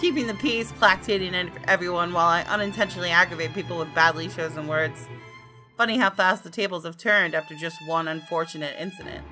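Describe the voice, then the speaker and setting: A woman hosting a gaming video, commenting on a visual novel and reading its narration aloud in an expressive, dramatic way. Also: lowish voice, fast talker. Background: game music.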